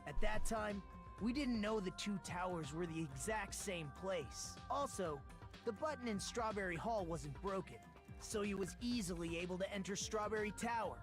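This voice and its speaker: An actor playing a calm, analytical teenage boy detective in an anime video game, explaining in a measured way. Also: high voice. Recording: game music behind voice.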